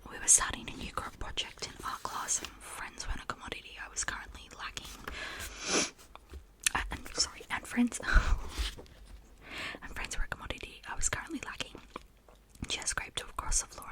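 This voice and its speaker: A woman reads fiction in a breathy whisper, ASMR style. She narrates a first-person teen story, keeping her voice hushed from phrase to phrase. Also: fast. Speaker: reader of a story.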